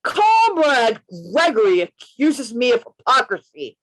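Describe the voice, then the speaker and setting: Woman playing a bold, animated gentleman detective, dry sound. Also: on the high side, no room sound, rough recording. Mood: defiant oratory. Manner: declamatory, emphatic, deliberate phrasing. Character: bold, defiant male undercover detective posing as anarchist. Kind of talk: amateur radio play reading. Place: indoors, on a video call. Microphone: video call.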